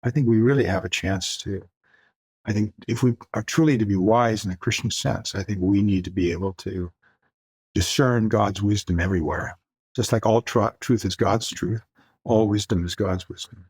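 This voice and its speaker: A man's voice: on the low side, moderate pace, darkish tone, slightly rough, no echo. An older man from the Far East, first language Mandarin, talking reflectively as a guest author in a podcast interview, searching for words with light laughs.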